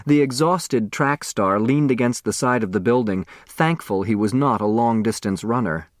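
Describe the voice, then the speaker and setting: Male narrator for fiction: expressive storytelling, crisp phrasing, very dry sound. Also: mid-range voice, moderate pace, no echo. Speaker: audiobook narrator.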